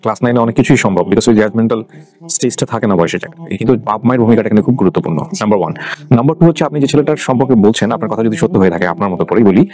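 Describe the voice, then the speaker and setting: A man's voice: lowish voice, expressive, racing, mellow. A man with a Bangladeshi accent hosting an interview, asking probing questions and mixing English words into his speech. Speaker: radio show host interviewing a guest.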